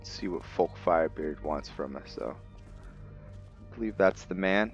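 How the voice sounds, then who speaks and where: A man with a deep voice gives casual commentary on a roleplay game. The recording is a little dull, and game music sits faintly behind him. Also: takes it slowly. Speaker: gaming video host.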